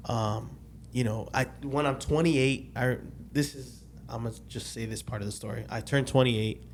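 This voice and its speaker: A man's voice: medium pitch, steady tone, normal speed, slight background noise. A man in his late twenties telling a personal story as a guest on a casual podcast, rambling, restarting often and losing track of the dates.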